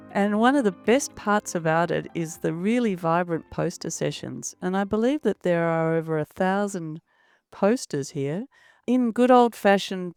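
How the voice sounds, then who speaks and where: A woman hosts a medical podcast with upbeat enthusiasm. Her voice is a bit low, smooth and clear. Faint music sits under the dry, close sound. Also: darkish tone, no room sound. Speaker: podcast host. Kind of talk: hosting a medical podcast interview.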